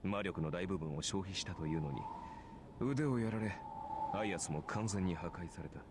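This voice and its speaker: An actor playing a composed, sardonic, battle-worn warrior in a Japanese visual novel, speaking in a dry, formal, measured way. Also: male voice, sits low, noisy background, natural voice. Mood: wry, calm.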